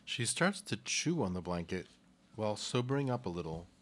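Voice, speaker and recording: A man's voice: fairly low pitch, sing-song, normal speed. A man narrating stage directions for a live radio play in a scripted, read-aloud style.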